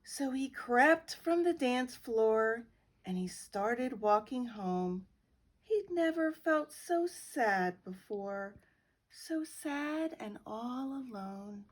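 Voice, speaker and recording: A middle-aged woman reading a children's picture book aloud with slow, expressive storytelling. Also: fairly high pitch. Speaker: guest reader.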